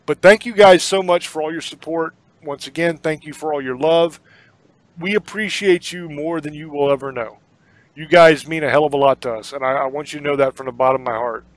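Male podcast host, casual and earnest, slightly high voice, dry close sound. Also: brisk, no echo, rough recording. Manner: earnest, rambling, repeats words. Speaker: podcast host and horror author. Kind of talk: casual sign-off talk.

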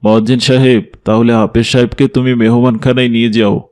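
A man plays a strict middle-aged cartoon father, devout and formal. His mid-pitched voice stays very even as he gives measured, authoritative instructions. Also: lightly acted. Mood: courteous, formal.

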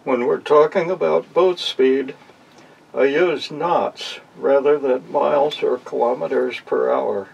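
A man in his sixties with a gravelly voice, hosting an instructional hobby video in an unhurried, folksy storytelling way. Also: mid-range voice, steady tone, darkish tone. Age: 60s. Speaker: host of a model sailing channel. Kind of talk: relaxed instructional narration to camera. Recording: distant mic, faint outdoor noise.

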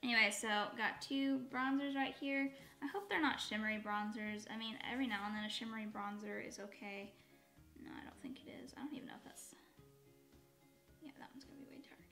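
A woman in her thirties with a slightly raspy, dry voice, chatting in a rambling way while doing her makeup at home, often correcting herself. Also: fairly high pitch, steady tone, brisk, sharp tone, soft background music. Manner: rambling, self-correcting, with asides. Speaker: beauty vlogger. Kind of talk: chatty get-ready-with-me. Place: bedroom at home, indoors. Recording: echoey home room.

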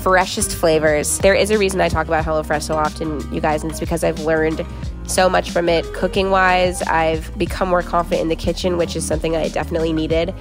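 A woman's voice: sits low, little pitch movement, a little fast, over music. A woman in her late twenties vlogging casually, sarcastic and chatty, rambling with likes and ums, stressed and near tears while driving. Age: late 20s. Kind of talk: casual vlog chat with sponsor read. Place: car interior. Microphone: camera on dashboard.

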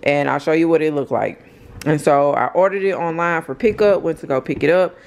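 A woman's voice: low voice, a little fast, darkish tone. An American woman in her thirties casually showing off a shopping haul from behind a handheld camera at home. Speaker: vlogger. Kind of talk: casual haul show-and-tell. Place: indoors at home. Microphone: handheld camera, speaker behind it. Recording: clear background noise and handling clicks.

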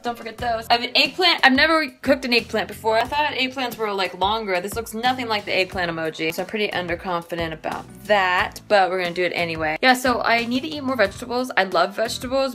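A woman's voice: fairly high pitch, fast talker, few pauses, pushed and bright, soft background music. A young woman chatting casually to a handheld camera in her home kitchen, joking and swearing offhandedly as she preps meals. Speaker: vlogger. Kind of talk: casual cooking vlog. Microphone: handheld phone camera at arm's length.

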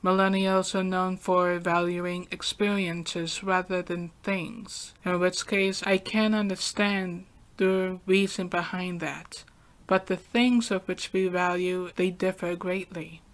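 A young adult woman hosting a podcast, talking through a calm, soft-spoken, reflective solo monologue. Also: on the low side, takes it slowly. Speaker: podcast host.

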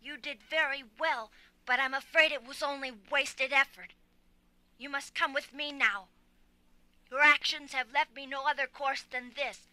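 Woman as a high-voiced, smug possessed boy. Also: steady tone, normal speed, sharp tone, no echo, low-quality recording. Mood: cold, commanding. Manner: condescending, controlled. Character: cold, possessed little boy, smugly commanding. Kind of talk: audio drama acting.

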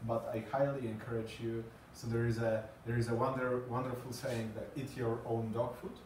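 A man in his thirties speaks English with a Polish accent, stopping often on fillers and repeating words as he presents. He sounds far from the mic in an echoey room. His pitch sits in the middle of the range. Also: level tone, normal speed, a little mellow, a little hiss or hum. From Poland. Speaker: conference speaker. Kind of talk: presentation talk. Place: small meeting room with green walls and a screen. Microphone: far camera, no mic seen.

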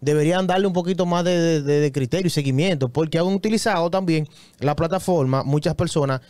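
A man in his thirties co-hosting a casual radio interview, lively and joking, often repeating words, on a studio boom mic. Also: quick pace, dry recording. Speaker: radio show co-host. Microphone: studio mic on boom arm.

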